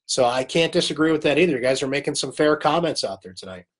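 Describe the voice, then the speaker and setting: A man's voice: fairly high pitch, expressive, fast. Chatty man hosting a live sports recap, voice worn by a cold. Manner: chatty, opinionated, reads viewer comments aloud. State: losing his voice from a cold. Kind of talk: casual live-stream sports talk.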